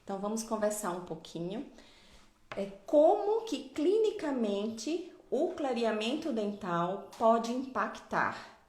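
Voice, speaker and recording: A woman's voice: a little high, very animated, takes it slowly, darkish tone, reverberant. A middle-aged woman from Pernambuco, Brazil, giving a live online dentistry lecture in a didactic way, often adding tag questions. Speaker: dentistry professor.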